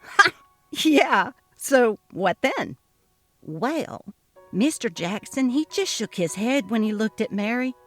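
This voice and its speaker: A woman narrating a short story as a dramatic, gossipy reading, expressive in her delivery. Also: on the high side, takes it slowly, no room sound, soft background music.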